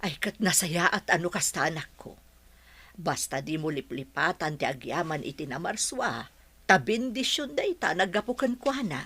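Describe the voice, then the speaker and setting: A woman with an Ilocano accent playing a gentle, pious village mother in a radio drama. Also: lowish voice, expressive, moderate pace, slightly rough. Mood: tender.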